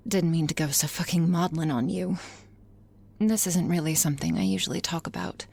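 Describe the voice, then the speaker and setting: A woman's voice: on the low side, darkish tone, slightly rough, heavily acted. A woman playing a blunt, confident female office boss who talks frankly and wryly in an intimate ASMR roleplay. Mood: self-conscious, candid. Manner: frank, profane, wry, musing with hesitant pauses. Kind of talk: intimate ASMR roleplay, conversational monologue to listener.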